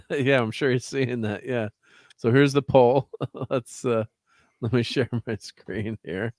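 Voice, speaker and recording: A man's voice: medium pitch, slow pace, darkish tone, no room sound, clean studio sound. A man hosting a casual livestream, rambling through chat names and laughing often. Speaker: host.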